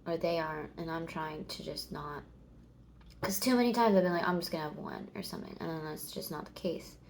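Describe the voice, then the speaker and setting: Rambling gravelly-voiced woman in her thirties. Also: deep voice, a little fast. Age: 30s. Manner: chatty, meandering, laughs and swears casually. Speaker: vlogger. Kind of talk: rambling casual chat.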